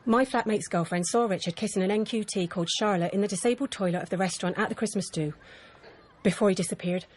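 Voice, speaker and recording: An actress playing a nervous, well-meaning teacher in a radio drama, hesitant, rambling and apologetic. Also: female voice, medium pitch, quick pace, a little hiss or hum, lightly acted. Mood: awkward, confiding. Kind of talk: naturalistic radio drama acting.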